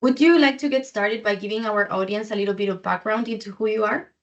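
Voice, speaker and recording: A woman's voice: mid-range voice, fast talker. Podcast host woman, slightly muffled sound. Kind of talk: scripted podcast hosting.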